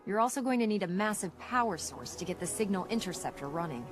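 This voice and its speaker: A woman's voice: medium pitch, animated, fast talker, lightly acted. A woman playing a blunt, wry, confident military engineer in a video game cutscene. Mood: brisk, confident. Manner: blunt, sardonic, businesslike. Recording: game audio under background music.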